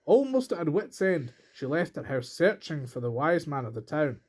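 A male storyteller narrates a traditional tale from a script. His voice is pitched a little high for a man, and he reads it as a storybook narration. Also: very animated, moderate pace, darkish tone, husky.

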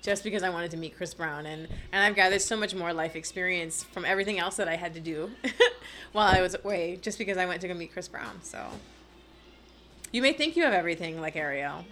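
A young Bahamian woman in her twenties, a podcast guest telling stories in a lively, laughing way. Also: fairly low pitch, fairly quick, slight background noise. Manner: animated storytelling, laughs often. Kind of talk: casual chat.

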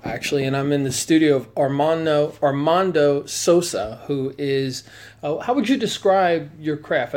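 A man's voice: a little high, a little mellow. A man interviewing a guest in a studio, talking conversationally in an enthusiastic, encouraging way. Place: weaving studio, indoors.

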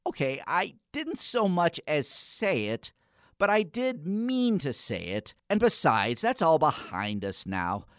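A man acts out a melancholic young gothic poet in a comic radio play. He is theatrical and dryly polite, speaking slowly with big melodic swings. The sound is close and dry. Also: on the high side, huge pitch swings, a bit bright, clear, resonant tone, dry recording, full character voice. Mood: wry.

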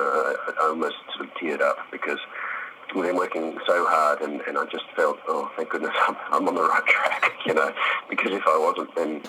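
A man's voice: extremely low pitch, noisy background. A middle-aged man answering interview questions casually, thoughtful and hesitant, with some self-deprecation. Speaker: actor, interview guest.

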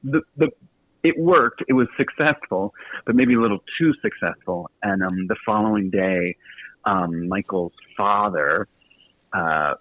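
An American man from Virginia, a guest author telling stories casually in an interview, chatty, halting and self-deprecating. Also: sits low, lively intonation. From Virginia, United States. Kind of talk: casual storytelling in a radio interview. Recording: tinny phone-like line with some room echo.

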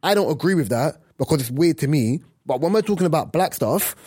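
Podcast co-host: man, husky, slightly high, dry close mic. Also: a little fast, dry recording. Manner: animated, emphatic, jokey. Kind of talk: casual podcast chat.

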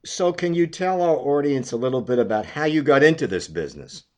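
A man's voice: a little high, animated, a little fast. A man asking structured questions as the interviewer in a business interview.

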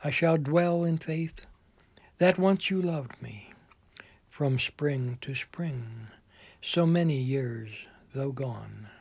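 Husky, slow-reading man reciting poetry. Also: medium pitch, darkish tone, no echo, highs cut off. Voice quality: husky, breathy. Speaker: poet reading own work.

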